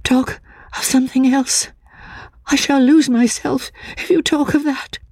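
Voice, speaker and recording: A fragile young woman, as acted by a female audiobook reader, agitated and afraid. Her voice sits high and breathy, close and dry, and she pleads in faltering, repeated words. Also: steady tone, unhurried, a little mellow, no room sound. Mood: distraught, warning. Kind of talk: dramatic audiobook character reading.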